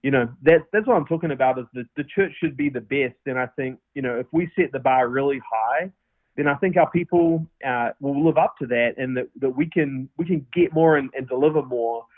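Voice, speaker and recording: A man's voice: mid-range voice, a little fast, no room sound. A man with a New Zealand accent, a podcast guest answering earnestly with many fillers and restarts. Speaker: podcast guest, company founder. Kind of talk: casual interview answers. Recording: tinny phone-line audio.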